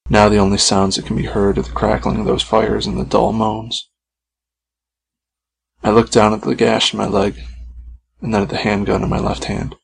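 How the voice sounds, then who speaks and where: A man's voice: on the low side, vocal fry, slight background noise, poor audio. A man reading a scripted first-person horror story as its author and narrator.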